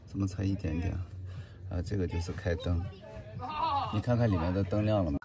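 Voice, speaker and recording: A man making relaxed, matter-of-fact remarks while setting up a camper trailer outdoors. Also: low-pitched, brisk, distant mic, over a noisy crowd. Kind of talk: casual family camping vlog. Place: outdoors at a campsite.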